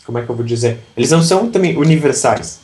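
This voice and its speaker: A man's voice: medium pitch, lively intonation, fast, darkish tone. A man from southern Brazil, an English teacher, talking reflectively in a casual interview with frequent restarts.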